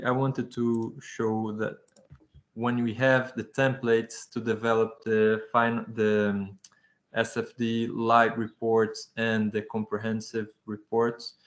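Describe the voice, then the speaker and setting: Male presenter, unhurried, monotone, lots of hesitations. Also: fairly low pitch. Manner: halting, many fillers and restarts. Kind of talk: webinar presentation.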